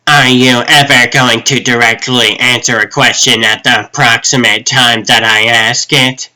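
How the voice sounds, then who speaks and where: A man's voice: medium pitch, fairly even intonation, unhurried, bright, forceful tone, poor audio. A man voicing a dutiful but exasperated assistant in a comic dub, speaking pointedly.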